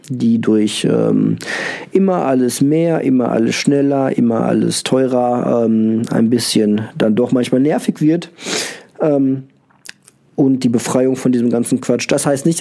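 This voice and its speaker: A man talks through his solo podcast in a loose, unscripted way, stalling on filler sounds and restarting his sentences. He has a cold, and now and then a cough breaks in. His voice sits at an ordinary pitch with a soft, mellow tone. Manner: hesitant, many filler sounds, loose rambling. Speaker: podcast host. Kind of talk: casual solo podcast monologue.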